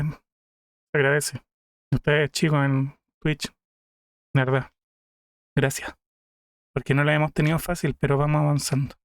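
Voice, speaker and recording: A man's voice: on the high side, clear, resonant tone, dry recording. Thoughtful male streamer, dry close sound. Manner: thoughtful, musing aloud. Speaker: streamer reacting to a video. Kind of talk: casual reaction commentary.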